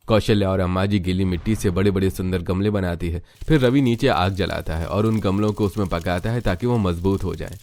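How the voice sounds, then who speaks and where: A man's voice: sits low, brisk, few pauses, darkish tone. A man narrating a scripted cartoon story as its storyteller.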